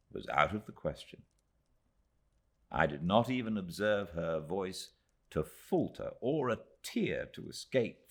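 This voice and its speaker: British man, deep voice, very animated intonation, audiobook narrator, literary storytelling, measured dramatic phrasing. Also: creaky. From Britain.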